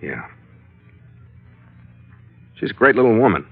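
Hard-boiled ship skipper, blunt and wry, low voice that swings around a lot, old dull radio sound. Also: male voice, big pitch swings, slow, breathy edge, dry recording, exaggerated voice. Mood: troubled, probing. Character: tough, wry ship's skipper, loyal and blunt. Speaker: lead actor and first-person narrator. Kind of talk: classic radio drama acting, hard-boiled. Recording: dull vintage radio recording with music.

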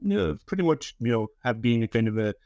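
A man's voice: medium pitch, animated, quick pace, warm tone, no echo. A man in his thirties with a Cork Irish accent, talking casually as a guest, often repeating words and restarting. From Ireland (West Cork). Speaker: historian, guest author. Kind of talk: casual interview chat.

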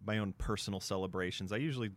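A man's voice: fairly low pitch, very fast. A man with an American accent co-hosting sports radio, talking casually and hesitantly with lots of "you know" asides. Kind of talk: casual chat.

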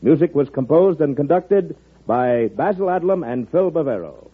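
A man's voice: on the high side, lively intonation, normal speed. An American man formally reading credits as a 1940s radio announcer. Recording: tinny vintage radio broadcast.

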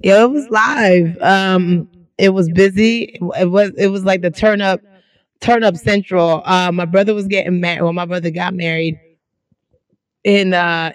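A woman co-hosting a podcast in casual chat, hoarse and losing her voice, telling stories in lively slang. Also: lowish voice, dry recording. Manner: slangy, animated storytelling. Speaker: podcast co-host.

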